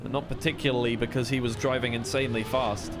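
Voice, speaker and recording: A man's voice: medium pitch, steady tone, quick pace, music in the background. A man giving live play-by-play commentary as an esports caster on a racing game match.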